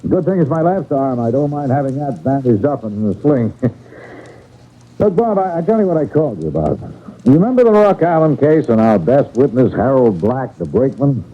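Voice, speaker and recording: Shrewd detective chief, thin old radio sound. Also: male voice, mid-range voice, sing-song, muffled recording. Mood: brisk, confiding. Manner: folksy, deliberate, knowingly insinuating. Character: shrewd male detective agency chief, genial but quietly probing. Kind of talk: old-time radio drama acting.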